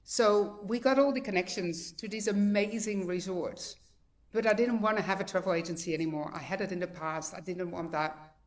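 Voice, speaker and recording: A woman's voice: mid-range voice, normal speed. A woman in her fifties from the Netherlands with a mixed Dutch accent, sharing her personal story as a guest speaker on a video call in a chatty, heartfelt way with many fillers. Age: 50s.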